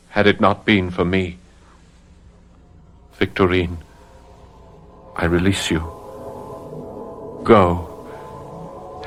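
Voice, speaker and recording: A man's voice: medium pitch, a bit bright. A man acting a grave, solemn commander of spirits with theatrical delivery in a radio drama.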